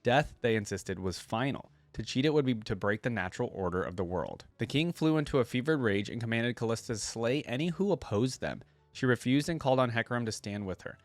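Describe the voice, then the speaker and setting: A man's voice: on the low side, no room sound. A man hosting a reaction video, moving between casual commentary and reading aloud.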